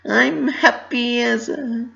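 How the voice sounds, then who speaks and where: A woman's voice: fairly high pitch, takes it slowly, vocal fry, a bit bright, muffled recording, exaggerated voice. A woman voicing an anxious, bubbly young female pony baker who doubts herself, in an emotional cartoon-style dramatic reading. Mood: forced cheer. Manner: emotional, forced cheer over distress.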